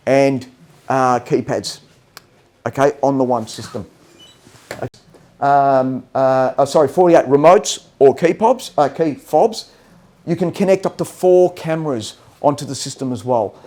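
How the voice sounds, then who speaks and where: A man presenting technical product training in a halting way, often stopping to check that listeners follow. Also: medium pitch, mellow.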